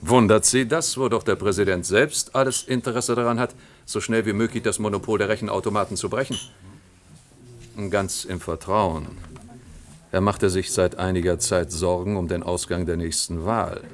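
An actor playing a smug, scheming middle-aged general in a German science-fiction radio drama, with an oratorical, condescending manner. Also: male voice, on the low side, steady tone, normal speed, close to an everyday voice. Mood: smug, confiding.

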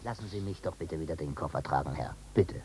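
An actor playing a sly, servile crook who speaks obsequiously and insinuatingly in a 1950s radio drama, often dropping to a whisper. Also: male voice, rumbling low, lively intonation, fast, dark tone, husky, full character voice. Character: sly, servile male crook feigning deference to his victim.

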